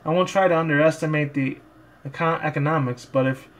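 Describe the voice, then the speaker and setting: A man's voice: on the high side, fairly even intonation, brisk, close to an everyday voice. A man voicing a haughty, ladylike cartoon pony with a scornful, wordy manner in a story reading.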